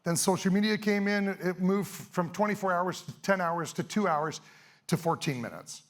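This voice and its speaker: A middle-aged man, a little hoarse, giving a fast, energetic, casual talk to a live audience on an event stage. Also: sits high. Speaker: event speaker, sports photographer.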